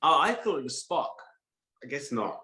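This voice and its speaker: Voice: medium pitch, sing-song, moderate pace, sharp tone. A person chatting casually over a video call. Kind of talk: casual chat.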